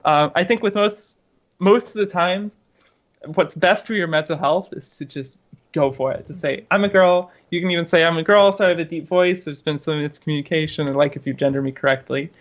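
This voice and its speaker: A woman guest chatting casually on a podcast, halting and searching for words with many fillers. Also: lowish voice. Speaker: guest gamer and streamer. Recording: dull lo-fi remote audio.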